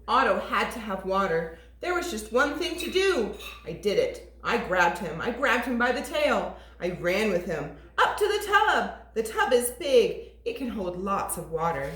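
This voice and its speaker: A woman teacher reading a storybook aloud to toddlers in an expressive, sing-song way. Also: mid-range voice, unhurried, few pauses. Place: classroom. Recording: echoey room.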